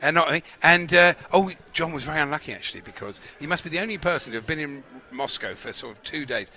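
A man's voice: on the high side, expressive, sharp tone. A man co-hosting a podcast, joking and laughing often in casual chat. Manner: quick, laughing, playful. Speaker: podcast co-host.

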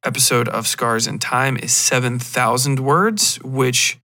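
A man with a bright, forward voice hosts a podcast alone. He talks casually and rambles, drifting into digressions with a self-deprecating touch. His pitch sits in the middle for a man. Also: fairly even intonation, measured pace. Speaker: podcast host.

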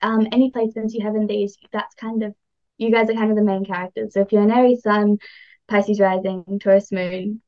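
A woman's voice: mid-range voice, little pitch movement, fairly quick, highs cut off. A woman chatting casually as she explains astrology as a podcast guest, informal, enthusiastic and encouraging.

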